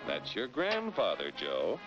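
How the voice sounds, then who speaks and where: An actor playing a confident, didactic American narrator and guide in a 1940s educational cartoon. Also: male voice, on the low side, wildly swooping pitch, a little slow. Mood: patient, instructive. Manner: didactic, persuasive, polished. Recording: muffled vintage film soundtrack, loud music.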